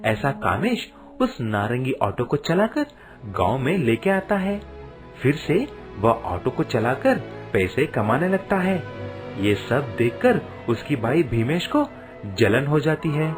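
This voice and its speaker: A man's voice: mid-range voice, big pitch swings, slow, music in the background. A man narrating a scripted children's moral story.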